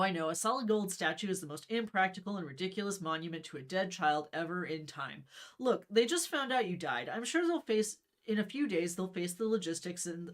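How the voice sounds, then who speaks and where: A woman's voice: on the low side, lightly acted. A woman reading the part of a male police chief in a storybook, sardonic and musing.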